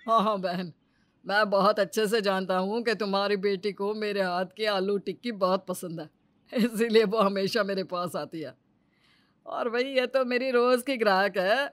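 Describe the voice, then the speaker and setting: A greedy village husband who sells snacks, acted by a woman in a children's moral tale. The voice is mid-pitched for a woman and closely recorded, with chatty, emphatic, persuasive delivery. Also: steady tone, moderate pace, dry recording, close to an everyday voice. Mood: friendly.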